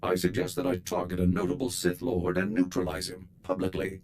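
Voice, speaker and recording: A polite combat droid from a video game, low voice, super formal and precise, some noise behind it. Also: male voice, completely flat delivery, a little fast, darkish tone. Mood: polite, earnest. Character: polite, eager, literal-minded military combat droid. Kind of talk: scripted video game dialogue.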